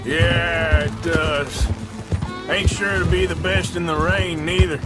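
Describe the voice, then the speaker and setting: An actor playing a gruff, cynical outlaw in a Western video game, with an American Western drawl and a terse, dismissive manner. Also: male voice, a little high, fairly even intonation, takes it slowly, loud music behind the voice. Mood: wary. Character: gruff, cynical adult male American outlaw in the Old West. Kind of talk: dramatic video game acting.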